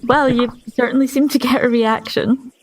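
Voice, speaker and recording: A woman playing a shy, gentle teenage schoolgirl in a tabletop game, hesitant and understated. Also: fairly high pitch, fairly even intonation, normal speed, lightly acted, rough recording.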